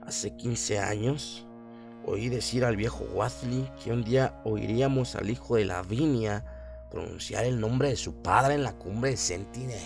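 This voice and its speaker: Un hombre que interpreta a un viejo aldeano que recuerda en voz baja y sombría una profecía, en una radionovela de terror. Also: male voice, lowish voice, unhurried, vocal fry, music in the background. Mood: ominous. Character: old male villager recalling a dark prophecy. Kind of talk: horror radio drama acting.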